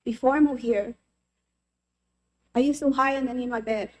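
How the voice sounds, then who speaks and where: Woman from el salvador, testifying, heartfelt and earnest, kinda high voice. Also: fairly even intonation, normal speed, a little mellow, slight background noise. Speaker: member of the public testifying. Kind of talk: personal testimony at a legislative hearing.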